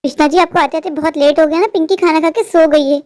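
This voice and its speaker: A high-pitched, scheming stepmother, acted by a woman in a cartoon moral story. She is cruel, smug and dismissive, recorded very dry. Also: fairly even intonation, quick pace, a little mellow, dry recording, lightly acted. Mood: evasive, placating. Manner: sarcastic, self-satisfied, dismissive.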